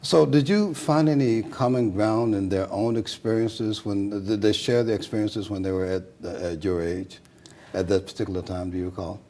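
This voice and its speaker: A man's voice: on the low side, steady tone, moderate pace, mellow. A man hosting a conversational interview, probing with questions that he restarts and repeats as he goes. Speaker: talk show host, interviewer.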